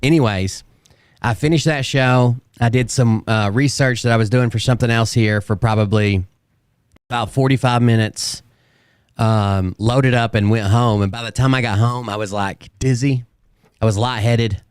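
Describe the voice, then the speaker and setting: A man hosting his own live show, chatting casually and rambling, his voice hoarse and fading from a sore throat. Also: mid-range voice, steady tone, normal speed, dry recording, studio quality. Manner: rambling, self-mocking. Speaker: host. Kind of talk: casual live-stream talk.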